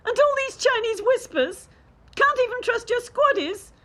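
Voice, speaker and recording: A woman's voice: extremely high pitch, expressive, moderate pace, bright, forceful tone, full character voice. A woman playing a distressed, idealistic young gymnast in a radio play, with an anxious, aggrieved and brooding manner. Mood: bitter, fed up.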